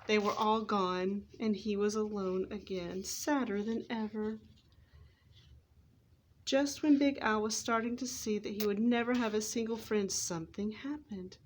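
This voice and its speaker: A woman's voice: medium pitch, fairly even intonation, unhurried, a little hiss or hum. A woman narrating a children's story aloud from a script, expressively, with the odd stumble over the text. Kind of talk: scripted read-aloud narration for readers theater.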